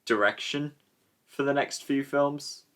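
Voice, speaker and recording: A man's voice: medium pitch, takes it slowly, a loud crowd. Casual man riffing on a movie. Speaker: host of a film commentary show. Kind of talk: casual joking group commentary on a film.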